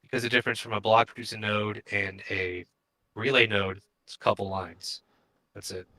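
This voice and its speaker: A man's voice: fairly low pitch, steady tone, normal speed, bright, forceful tone, low-quality recording. A man co-hosting a live stream, chatting casually and without a script. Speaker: podcast co-host.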